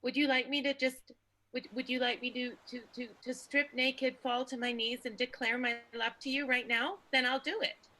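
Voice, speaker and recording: Radio soap lover, female actor: bright, indignant, melodramatic, stammering emphasis. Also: high voice, flat delivery, normal speed, rough recording. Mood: defiant, rising. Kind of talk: improvised radio soap acting.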